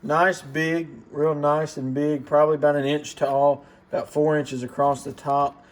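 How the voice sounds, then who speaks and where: A man hosting a casual unboxing and gear demonstration, talking in a low-key, plain-spoken, understated way. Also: little pitch movement, unhurried. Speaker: host of a radio gear review channel. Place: indoor workbench in a radio shop. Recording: clearly noisy room recording.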